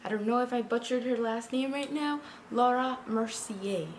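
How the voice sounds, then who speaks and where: A woman reviewing a product in a casual, chatty way, talking straight to her viewers, with a slightly raspy early-morning voice. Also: on the high side, normal speed, a little hiss or hum. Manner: chatty, talks to viewers directly. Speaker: beauty vlogger.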